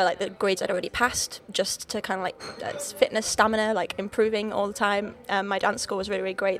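A young woman from North Wales with a Liverpool-leaning accent, chatting as a podcast guest with lots of fillers, restarts and laughs. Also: mid-range voice. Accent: Liverpool-leaning North Welsh. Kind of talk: casual interview chat.

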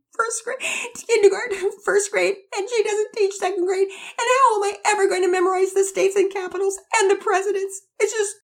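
Woman putting on a high, tearful little girl. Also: a bit bright, heavily acted. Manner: whiny, stammering, pleading. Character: anxious little girl, second grader, tearful.

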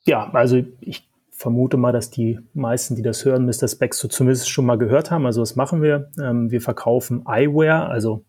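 A man's voice: medium pitch, fairly even intonation, brisk, mellow, husky. A man from Berlin with a Berlin accent, a tech guest on a podcast, talking casually with many fillers and hesitations. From Berlin, Germany.

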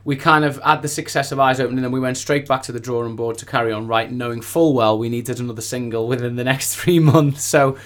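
A man's voice: medium pitch, fairly even intonation, fast, few pauses. A man speaking as a singer-songwriter guest in a casual interview, effusive and rambling, often repeating his phrases.

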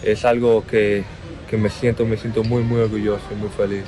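A young man in his twenties with a Dominican accent, speaking in an interview in a slow, halting way that repeats words. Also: on the low side, little pitch movement, warm tone, poor audio. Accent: Dominican Spanish. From Dominican Republic. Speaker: basketball player interviewed. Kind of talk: outdoor interview.

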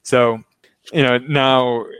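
A man's voice: medium pitch, flat delivery, takes it slowly, fairly bright tone, clean tone, dry recording, studio quality. Chatty man. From Baltimore, Maryland. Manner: laughs often, talks with a loose lecturing rhythm. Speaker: show host. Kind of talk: casual finance chat. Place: remote, in Brazil.

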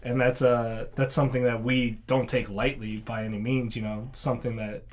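A man's voice: medium pitch, level tone, normal speed. Earnest young man, easy laugh. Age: 20s. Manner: earnest, laughs easily, trails off. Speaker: guest, former recon marine. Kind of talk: casual chat over drinks.

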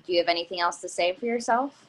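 A woman's voice: fairly low pitch, fast, slight background noise, close to an everyday voice, rough recording. A woman playing a stern, accusing interrogator in a play reading. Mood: controlled, probing.

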